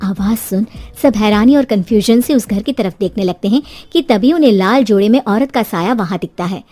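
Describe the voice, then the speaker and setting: A woman's voice: mid-range voice, brisk, mellow, soft background music. A woman narrating a scripted horror story as a storyteller.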